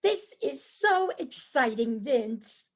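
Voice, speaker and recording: A woman's voice: high-pitched, big pitch swings, takes it slowly, heavily acted. A woman playing a naive, eager, cheerfully enthusiastic tourist wife in an amateur staged play reading. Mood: delighted. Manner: eager, wide-eyed, chirpy.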